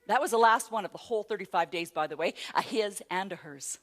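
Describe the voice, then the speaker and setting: A middle-aged woman, a motivational speaker, telling a self-mocking story to a live audience, rising to loud exclamations. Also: medium pitch, brisk. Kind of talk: storytelling keynote talk.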